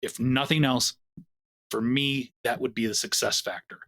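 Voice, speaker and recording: Brief: male producer, mid pitch, earnest, reflective. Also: steady tone, moderate pace, a bit bright. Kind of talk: interview answers.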